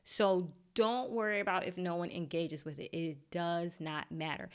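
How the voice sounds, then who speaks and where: A woman's voice: lowish voice, steady tone, moderate pace. A woman teaching a live online workshop, chatty and encouraging, laughing at her own slips. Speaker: LinkedIn marketing coach. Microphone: desk mic.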